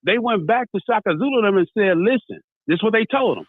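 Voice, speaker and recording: A man's voice: high-pitched, lively intonation, fast talker, no room sound. An American man talking in an impassioned, casual debate as a radio talk host, telling stories and acting out dialogue. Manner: storytelling, acts out dialogue, repeats "you know what I mean". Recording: tinny phone-like sound.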